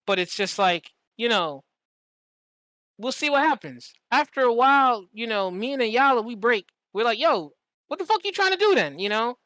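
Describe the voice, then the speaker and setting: This podcast host has a bright, clear voice in a very dry recording. The talk is casual and animated storytelling, full of slang and swearing, with quoted lines acted out in conversation. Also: medium pitch, normal speed, dry recording. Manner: animated, profane, slangy, acts out quoted dialogue.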